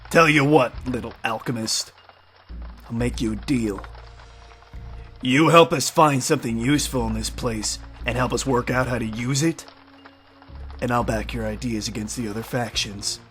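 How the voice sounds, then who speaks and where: A man's voice: medium pitch, moderate pace, fairly bright tone, close to an everyday voice. An actor playing a shrewd, folksy, grizzled leader of vampire-hunting outlaws in a fantasy audio drama, needling as he talks. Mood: sly, bargaining. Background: music.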